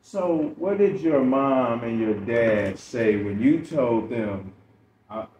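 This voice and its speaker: A man's voice: mid-range voice, expressive, takes it slowly, dark tone. Male interviewer, distant and roomy. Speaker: podcast host, interviewer. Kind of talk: conversational interviewing with brief affirmations. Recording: roomy, distant, dull, faintly noisy.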